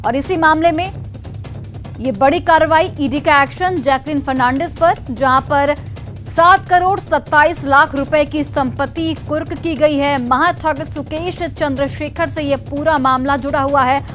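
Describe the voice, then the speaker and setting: A woman news anchor delivering breaking news in an emphatic, urgent way, repeating key phrases. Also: sits high. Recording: music bed under the voice. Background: news music.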